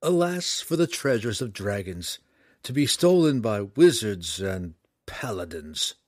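A man voicing a cunning male dragon trapped in a dark elf's body, who pleads and argues in a dramatic way in a storybook reading. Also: lowish voice, very animated, a little slow, dry recording, clean studio sound. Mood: pleading.

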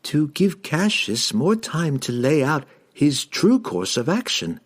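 A man voicing a sly, deferential halfling, pitched up. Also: big pitch swings, slow, husky, full character voice. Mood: feigned submission. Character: sly male halfling, feigning meek obedience while lying.

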